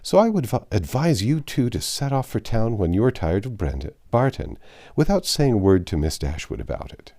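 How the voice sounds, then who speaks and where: A man voicing a hearty, jovial country gentleman who talks eagerly and persuasively. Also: on the low side, very animated, normal speed, dry recording, studio quality, pitched above the performer's own voice. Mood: coaxing.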